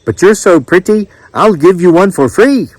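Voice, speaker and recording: A man voicing a smooth-talking, flirtatious island man with an exotic accent in a storybook narration. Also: mid-range voice, big pitch swings, unhurried, dry recording, poor audio.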